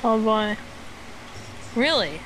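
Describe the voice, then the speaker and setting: A playful, halting speaker from the United States telling a rambling tall tale as a guest on an internet voice call. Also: medium pitch, expressive. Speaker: guest storyteller on a gaming voice call. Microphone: Skype call. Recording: clearly noisy internet voice call.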